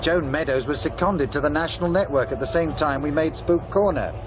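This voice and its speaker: An actor playing a shrewd, doubtful radio colleague with a dry, probing, matter-of-fact manner in an audio drama. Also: male voice, on the high side, fairly quick, background noise, lightly acted. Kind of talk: naturalistic audio drama dialogue.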